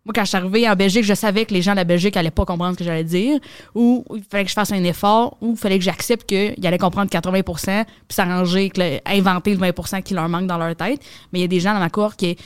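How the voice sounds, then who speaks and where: A woman's voice: mid-range voice, clean tone, dry recording, studio quality. A young woman comedian with a Québécois accent, telling stories in a casual, slangy podcast chat. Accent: Québécois French. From Quebec. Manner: rapid, slangy, animated storytelling. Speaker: comedian guest.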